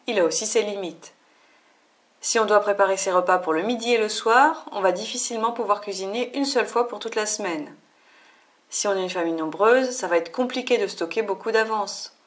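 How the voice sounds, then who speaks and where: Woman narrating a cooking tutorial. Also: mid-range voice. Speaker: cooking channel host. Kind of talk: scripted voice-over narration.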